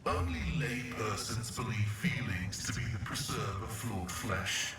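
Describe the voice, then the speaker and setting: Pompous machine-cult priest for a game: devout, swooping intonation, drenched in echo, music behind. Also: male voice, fairly low pitch, animated, measured pace. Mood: proud, devout. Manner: pompous, formal, liturgical. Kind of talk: video game character acting. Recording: very echoey, distant, loud music behind.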